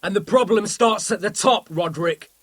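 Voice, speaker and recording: A man's voice: sits high, normal speed, husky, no echo, clean studio sound. An actor playing an arrogant, cruel young lord in a video game drama, sneering and menacing as he taunts those beneath him. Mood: contemptuous.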